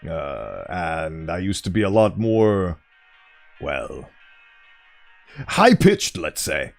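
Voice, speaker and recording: A man with a smooth voice streaming a game, chatting casually and playfully reading game text aloud in a mock-formal tone. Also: low voice, huge pitch swings, drawn-out words, faint music behind.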